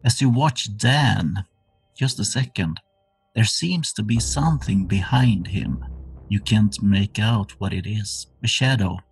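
A man runs a horror tabletop game as game master. His voice is very raspy and husky, with a heavy creak and a fairly low pitch as he narrates. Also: slow, soft background music, rough recording.

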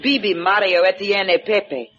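A woman playing a ruthless, contemptuous villain in a radio drama, with haughty, deliberate, theatrical delivery. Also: medium pitch, steady tone, fairly bright tone, faint music behind, close to an everyday voice. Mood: cold, contemptuous. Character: ruthless, contemptuous female villain holding captives at gunpoint. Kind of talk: 1950s radio drama acting. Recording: muffled vintage 1950s radio recording.

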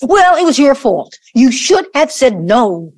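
A sarcastic, impatient grown daughter in an audio play , acted by a woman. Her high voice swings widely in pitch and sounds hostile, in a dry close recording. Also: big pitch swings, measured pace, a little mellow, no room sound, full character voice. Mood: scornful.

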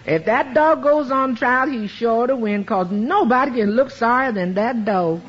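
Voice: medium pitch, measured pace, muffled recording, natural voice. A woman playing a wisecracking housekeeper. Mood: wry. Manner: dry, wisecracking. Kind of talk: 1950s radio sitcom acting. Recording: old radio with loud hiss.

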